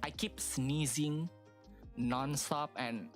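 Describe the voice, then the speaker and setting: Woman streamer, very low voice, stuffy nose, slow and rambling, faint music behind. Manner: rambling, hesitant, many fillers. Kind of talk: casual live-stream chat.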